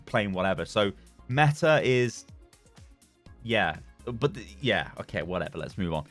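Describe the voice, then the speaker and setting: A young British man chatting casually as a gaming YouTuber, rambling and laughing as he reads and reacts to forum posts. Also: fairly low pitch. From Britain.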